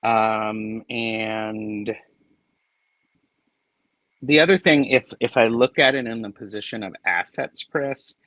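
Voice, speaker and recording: A man's voice: on the low side, slow, pauses often, fairly bright tone, no room sound. A man joining a live tarot stream as a guest reader, talking in a hesitant, reflective way with lots of ums. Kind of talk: casual live-stream chat.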